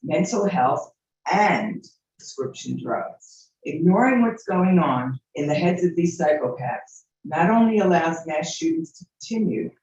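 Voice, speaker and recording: A man's voice: fairly high pitch, a little mellow, a little hiss or hum. Man reading a statement in an echoey chamber. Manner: earnest, reading a prepared statement. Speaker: public commenter. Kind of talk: prepared public comment speech. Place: city commission chamber. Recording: distant very echoey muffled hall mic.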